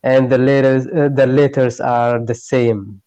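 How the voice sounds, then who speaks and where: A man from Eritrea, a Tigray speaker learning English, speaks in halting phrases, often searching for words. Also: medium pitch, level tone, warm tone, dry recording, highs cut off. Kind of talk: online tutoring conversation. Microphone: video call.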